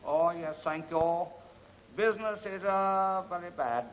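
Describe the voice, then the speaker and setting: A man's voice: high-pitched, lo-fi sound. An actor playing a meek, evasive man in a 1940s film serial, speaking hesitantly and very politely. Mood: nervous, polite. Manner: hesitant, halting, overly polite. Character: meek, evasive man feigning innocence among gangsters.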